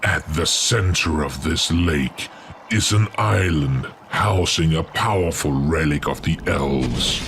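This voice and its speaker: A man's voice: very deep voice, gravelly, background noise, exaggerated voice. A man voicing a cruel, scheming orc warlock in a fantasy game, sneering and imperious. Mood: scheming, instructing. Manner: sneering, imperious, deliberate menace. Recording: loud music under the voice.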